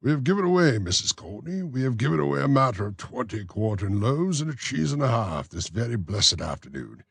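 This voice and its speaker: A man's voice: mid-range voice, big pitch swings, fairly quick, vocal fry, few pauses, fairly bright tone, slightly rough, no room sound, voice pushed up, exaggerated voice. A man voicing a pompous, self-important male parish beadle who lectures in a blustering way. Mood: grumbling. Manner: blustering, self-satisfied, preachy.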